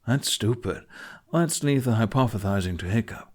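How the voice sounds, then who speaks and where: A man's voice: mid-range voice, expressive, normal speed, lightly acted. Man playing cocky, flippant Viking teen. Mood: dismissive. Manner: casual, flippant. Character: cocky, dismissive teenage Viking boy.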